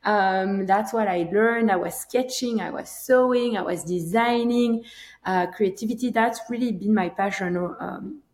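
A woman with a Belgian accent speaks as a guest, telling stories in a casual, conversational way and acting out quotes. Her pitch is mid-range and the room echoes clearly around her. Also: measured pace, darkish tone. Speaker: guest, company founder. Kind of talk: casual interview storytelling. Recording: roomy, echoing sound.

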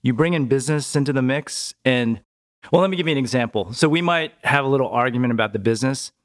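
A man's voice: medium pitch, fast talker. Chatty man telling business stories. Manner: relaxed, chatty, tags sentences with "right?". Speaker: podcast guest, business owner. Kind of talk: casual conversational interview.

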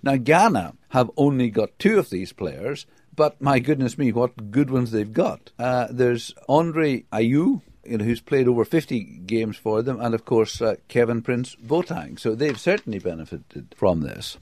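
A man's voice: mid-range voice, sing-song, measured pace, hardly any pauses, darkish tone, no room sound, clean studio sound. A man from the UK giving a conversational football report, enthusiastic, with hesitations and restarts. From United Kingdom.

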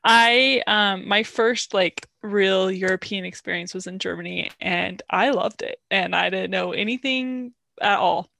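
A woman's voice: mid-range voice, measured pace, gravelly, few pauses. A woman co-hosting a podcast, enthusiastic as she reacts to her guests and asks questions. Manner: enthusiastic and warm-hearted. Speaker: podcast co-host. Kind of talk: podcast intro and interview.

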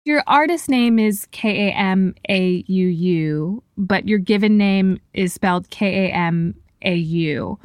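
A woman's voice: mid-range voice, takes it slowly, few pauses, a little mellow, smooth, no echo, studio quality. A woman working as an interviewer and host, moving between conversational questions and scripted documentary narration.

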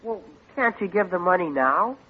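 Skeptical kid son on old radio comedy, animated delivery, muffled noisy vintage recording. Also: male voice, sits high, moderate pace. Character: plain-spoken, skeptical young son of the family. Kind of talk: 1940s radio sitcom acting.

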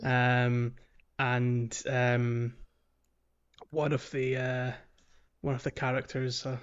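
A man's voice: medium pitch, monotone, slow pace, clear, resonant tone, lo-fi sound. A man co-hosting a casual video game podcast, chatting and explaining in a hesitant, circling way. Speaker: podcast host.

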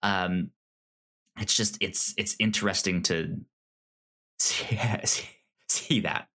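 A man co-hosting a casual film discussion podcast, speaking haltingly and often stammering over repeated syllables. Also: low-pitched, fairly even intonation, sharp tone. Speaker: podcast co-host.

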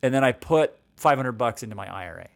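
Man, 40s, financial explainer, conversational, dry close sound, fry in the voice. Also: medium pitch, animated, quick pace, no room sound. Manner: conversational, frequent "right?" check-ins and restarts. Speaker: financial educator. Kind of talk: explaining retirement accounts.

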